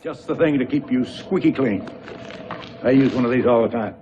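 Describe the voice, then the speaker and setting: An actor playing an eager, bumbling shopkeeper in a space-set television show, rambling and ingratiating in folksy sales patter. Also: male voice, medium pitch, normal speed, muffled recording, noisy background, natural voice. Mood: salesman patter. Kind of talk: 1970s television variety acting.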